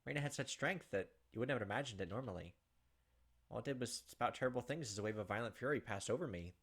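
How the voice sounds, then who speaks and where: A man's voice: medium pitch, expressive, quick pace, fairly bright tone, breathy edge. A man hosting a gaming video, reading a visual novel aloud and adding casual commentary over game music. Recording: music behind the voice.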